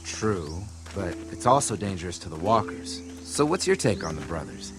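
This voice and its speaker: An actor playing a good-natured, loyal survivor in a video game, talking in a casual, eager way. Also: male voice, low voice, very animated, moderate pace, over music. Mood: eager, confiding.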